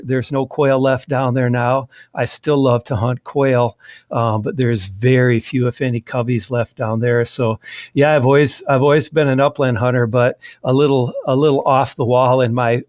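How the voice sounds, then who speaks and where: Middle-aged man, casual guest, mid-pitched, restarts a lot, dry close mic. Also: fairly even intonation, unhurried, a little mellow, dry recording. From southwestern Wisconsin. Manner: rambling, frequent restarts and fillers. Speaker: guest, magazine editor. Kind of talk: casual interview conversation.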